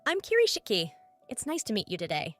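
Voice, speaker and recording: Romance dub role: actress as cool, self-possessed young woman, direct questioner, bright tone, music behind. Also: medium pitch, wildly swooping pitch, fairly quick. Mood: polite. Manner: composed, direct, probing. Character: cool, poised, probing beautiful woman of twenty-seven. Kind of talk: animated comic dub acting. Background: background music.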